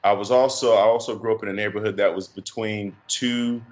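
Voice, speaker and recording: A middle-aged man from Chicago with a deep voice, a podcast guest talking in a reflective, halting way with many fillers and restarts. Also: steady tone, darkish tone, rough recording. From Chicago, USA. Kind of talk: casual interview conversation.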